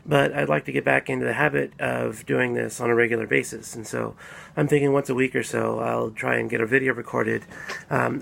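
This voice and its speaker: A man recovering from a cold, calmly explaining his views in a casual solo video talk, with frequent fillers and restarts. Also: mid-range voice, vocal fry. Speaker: apologetics speaker. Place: at home.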